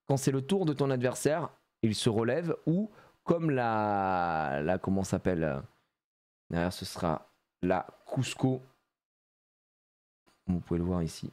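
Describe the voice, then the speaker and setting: A man chatting casually on a live stream as he opens trading card packs, joking around and laughing often. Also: medium pitch. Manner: animated and jokey, laughs often, plays on words.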